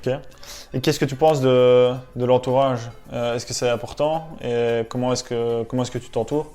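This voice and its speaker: A man conducts a casual interview in a mellow, mid-pitched voice. His questions come out informally, with some hesitation in the phrasing. Music plays behind him. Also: fairly even intonation, moderate pace. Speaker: interviewer, host.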